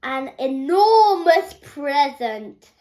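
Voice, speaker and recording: Young girl slowly reading a storybook aloud. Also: high voice, big pitch swings, warm tone. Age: child. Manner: halting, careful reading with stumbles and self-corrections. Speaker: young reader. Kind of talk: reading a children's picture book aloud.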